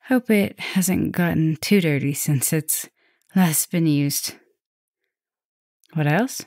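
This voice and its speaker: A woman playing a caring, softly dominant vampire professor in an ASMR roleplay, talking gently and intimately to the listener, with breathy drops toward a whisper. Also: deep voice, slow pace, no room sound, studio quality. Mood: playful, reassuring.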